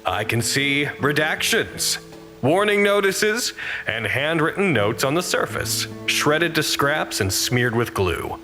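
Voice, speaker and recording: A man acting as a wry communications head in an audio drama, describing things in a dry, measured way. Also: a little high, big pitch swings, music in the background. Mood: dryly observant. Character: wry, observant communications head reporting on an art show. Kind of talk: audio drama narration into a radio pack.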